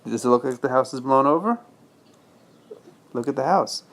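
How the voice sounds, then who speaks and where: A man's voice: medium pitch, lively intonation, moderate pace, fairly bright tone. A man reading a bedtime story as a father to his child, patiently explaining in simple words with check-in questions.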